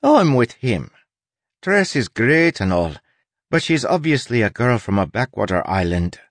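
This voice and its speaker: Man doing a high-pitched, blunt outlaw woman. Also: sing-song, measured pace, no echo. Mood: skeptical. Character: blunt, skeptical outlaw woman on a pirate ship.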